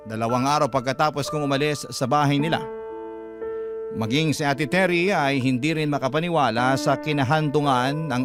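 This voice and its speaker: A man with a Filipino accent narrating a letter in the first person as a classic radio drama storyteller. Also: a little high. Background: music.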